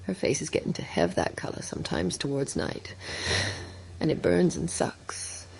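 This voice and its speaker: A woman voicing a dying old farmer, dazed with horror, in halting rustic fragments and breathy croaks for a horror audiobook. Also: very deep voice, very animated, a little mellow, full character voice. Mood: weak, warning. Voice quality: breathy, crackling whispers and croaks. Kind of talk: dramatic horror audiobook reading.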